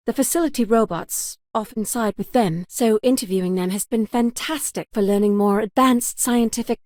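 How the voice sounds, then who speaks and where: A wonderstruck, courteous female scientist from a science fiction game. Her voice sits on the high side and her phrasing is formal and old-fashioned, earnest throughout. The sound is very dry, with no room around it. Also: a little mellow, no room sound. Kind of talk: scripted video game dialogue.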